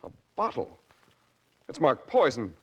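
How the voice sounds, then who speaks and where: An actor playing a naive, easily shocked young nephew in a 1940s radio drama, incredulous and breathless. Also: male voice, mid-range voice, big pitch swings, measured pace, husky. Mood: shocked, disbelieving. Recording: old 1940s radio recording, music behind.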